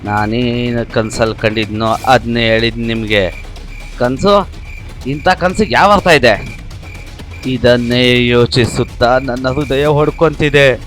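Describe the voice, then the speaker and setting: A man's voice: mid-range voice, a little slow, pauses often, fairly bright tone, faint music behind, natural voice. A man voicing an earnest village character in a moral story, speaking in a declamatory way. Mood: alarmed. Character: earnest village men: leader and alarmed old visionary.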